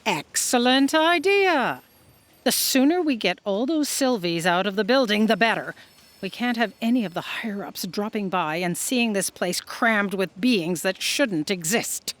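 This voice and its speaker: A woman acts out an imperious female boss for a comic sci-fi audio drama. The voice is mid-pitched and very animated. Orders come out curt, impatient and dismissive. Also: a little slow, close to an everyday voice. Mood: brisk authority.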